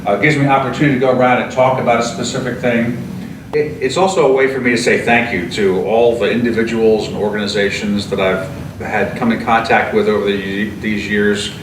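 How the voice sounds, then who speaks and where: A man's voice: on the low side, a little fast. Earnest older man, echoey distant sound. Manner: earnest, steady, with frequent fillers and restarts. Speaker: retired fire chief and author. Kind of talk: interview answers. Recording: echoey room, voice from off-mic, clear background noise.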